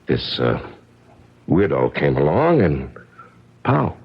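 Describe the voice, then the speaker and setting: An American actor playing an injured, evasive and wry drifter, colloquial and halting, in 1970s radio drama style on a muffled old broadcast. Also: male voice, extremely low pitch, wildly swooping pitch, slightly rough, heavily acted. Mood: confiding.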